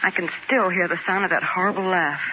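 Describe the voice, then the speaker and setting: A woman's voice: fairly low pitch, quick pace, natural voice. A woman playing a poised, practical lady companion to a sleuth, a little uneasy, in a 1940s radio drama. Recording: muffled, noisy 1940s radio recording.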